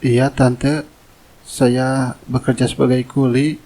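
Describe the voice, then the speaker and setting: A man's voice: mid-range voice, little pitch movement, slow, warm tone. A man playing a humble, self-effacing poor young labourer in a cartoon drama, polite and subdued.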